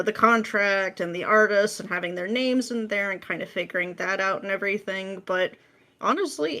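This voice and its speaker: A woman's voice: medium pitch, fairly bright tone. Matter-of-fact woman, casual podcast guest. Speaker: interview guest, ASMR roleplay script writer. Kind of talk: casual explanatory chat.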